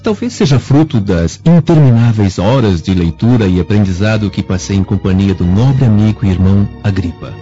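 An actor playing a courteous, flattering guest among Roman nobles in a radio drama, careful and polite with words. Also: male voice, on the low side, brisk, hardly any pauses, natural voice. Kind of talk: theatrical radio drama acting. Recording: muffled lo-fi radio drama with music.

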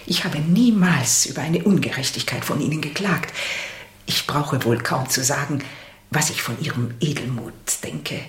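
A woman's voice: low voice, normal speed, natural voice. A woman playing a little old lady in a German radio drama, proud, devoted and formal in manner. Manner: formal, dignified. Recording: echoing room.

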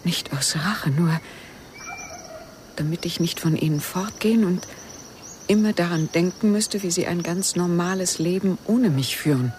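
An actress plays a lovesick woman caught in a doomed affair. Her voice is low and breathy, intimate and halting with intensity. It is radio drama, set against distinct noisy insect ambience. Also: darkish tone, lightly acted. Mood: brooding, intense.

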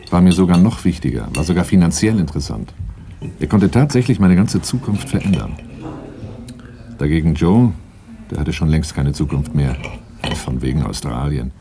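An actor playing a weary, resolute older brother in a 1980s radio drama, speaking in a terse, controlled, matter-of-fact way. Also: male voice, a bass voice, lively intonation, normal speed, dark tone, a little hiss or hum. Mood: grim, reflective. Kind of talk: 1980s German radio drama, first-person narration and dialogue.

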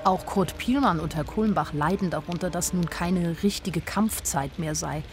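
Actress doing youngish woman narrator, lowish voice, first person radio play storytelling, bit of music behind. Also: moderate pace, natural voice. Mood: wry, observant. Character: observant young woman narrating her life in Nazi Germany.

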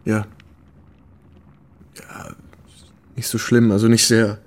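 A young war vet writer in a radio play, lowish voice, modest, a bit halting. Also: male voice, completely flat delivery. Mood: hesitant, earnest. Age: young adult. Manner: hesitant, understated.

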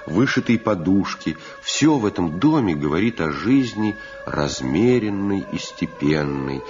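An actor playing a solemn storyteller, narrating a radio drama in a deliberate, evocative style. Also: male voice, rumbling low. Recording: muffled old radio recording. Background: music.

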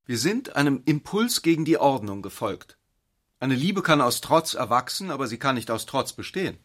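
An actor playing a disillusioned, brooding man in a literary radio drama, speaking in a measured, reflective way. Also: medium pitch, sing-song, fairly bright tone. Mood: sober, resigned. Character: disillusioned, brooding man ending a love affair.

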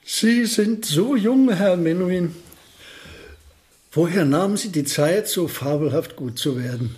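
An older actor in a German radio play playing a polite, wry, absent-minded elderly physics professor. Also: male voice, high-pitched, lively intonation, a little slow, a little mellow. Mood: courteous.

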